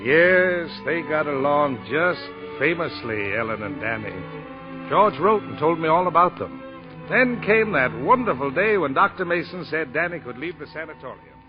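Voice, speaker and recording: An animated, knowing storyteller. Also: male voice, a little high, normal speed. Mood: wry, knowing. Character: knowing male storyteller recounting events, measured and pointed. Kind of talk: 1940s radio drama narration. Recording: dull 1940s radio recording with music.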